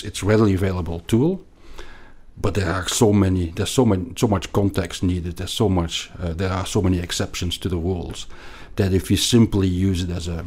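Finance guest: middle-aged Belgian man, accented, very deep voice, halting delivery. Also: a little mellow. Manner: hesitant, many repeated words and restarts. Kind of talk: conversational interview.

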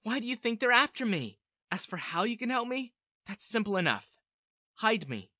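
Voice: medium pitch, big pitch swings, normal speed, bright, forceful tone, dry recording, studio quality, voice pushed up. A narrator voicing an earnest, determined woman spy with an urgent manner, in storybook dialogue. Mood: businesslike, urgent. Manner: pleading, urgent, resolute. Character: earnest, determined female Russian spy seeking shelter. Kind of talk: audiobook-style character dialogue.

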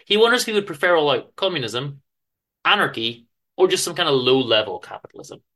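A man giving a dramatic literary reading of a story, with theatrical, deliberate emphasis and pauses. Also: a little high, big pitch swings, bright, forceful tone. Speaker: author reading own story aloud.

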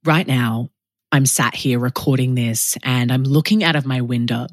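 A woman's voice: very deep voice, normal speed, no room sound, studio quality. A woman hosting a podcast, talking in a casual, enthusiastic and gushing way from her apartment. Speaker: podcast host.

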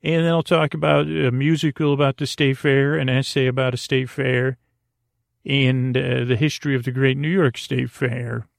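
A man hosting a bedtime podcast in a gentle, reassuring, halting monologue that meanders and over-explains, with a creaky voice. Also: medium pitch, flat delivery, no room sound, clean studio sound. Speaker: podcast host.

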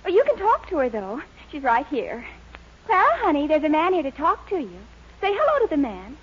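A woman's voice: high-pitched, huge pitch swings, fairly quick. An actress playing a sweet, insistent, scheming young woman in a 1940s radio sitcom. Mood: sweetly deceptive. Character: scheming, coaxing nineteen-year-old girlfriend, sweet and insistent. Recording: muffled old radio with faint hiss.

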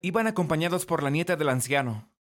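A man's voice: on the high side, animated, fast, slight background noise. A man reading a scripted first-person story as narrator.

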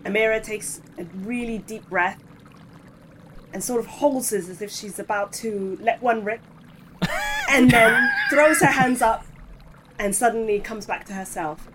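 Woman rpg player, highish voice that swings all over, faint noise behind. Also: very animated, measured pace, pauses often. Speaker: tabletop role-play player. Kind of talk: animated narration of own character.

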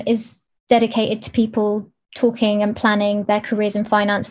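A woman's voice: medium pitch, a little mellow. A woman data journalist explaining her findings in a conversational way.

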